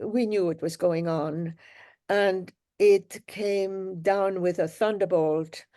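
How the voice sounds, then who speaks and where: An older woman from Germany, a guest telling her life story in a reflective, measured way with pauses. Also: fairly low pitch, mellow. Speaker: guest, Holocaust survivor. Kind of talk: interview storytelling.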